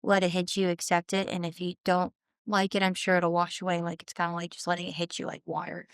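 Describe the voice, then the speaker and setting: Woman in her twenties, from Southern California, lowish voice, smooth and clear, earnest podcast guest, dry close mic. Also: monotone, quick pace, dry recording. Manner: earnest and reflective, trailing off and restarting often. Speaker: guest musician. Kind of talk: casual podcast interview.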